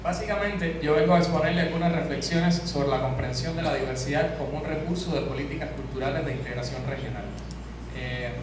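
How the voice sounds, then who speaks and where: A Cuban man with a strained, tired voice gives an academic talk, his pitch sitting a little high. He speaks in a measured way and often stops to restart a phrase. He is picked up from a distance in a very echoey conference hall with clear background noise. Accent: Cuban Spanish. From Cuba. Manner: measured, with frequent hesitations and restarts. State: voice strained from hours of teaching.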